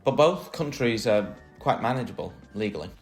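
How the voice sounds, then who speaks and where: A man's voice: lowish voice, lively intonation, normal speed, soft background music. A man co-hosting a casual scripted chat, adding wry, playful asides. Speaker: co-host.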